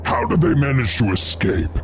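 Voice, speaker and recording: A man voicing a gruff, villainous wolf master in an audio fantasy story. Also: medium pitch, expressive, normal speed, very noisy, natural voice. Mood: baffled, angry. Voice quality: gruff, gravelly.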